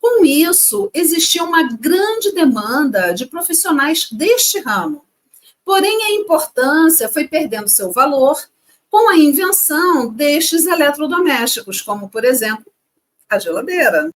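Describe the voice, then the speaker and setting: A woman from Rio de Janeiro co-hosting a casual chat, lively and chatty as she reads facts from her notes. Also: high voice, a little slow. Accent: Rio de Janeiro Portuguese. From Brazil. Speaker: co-host.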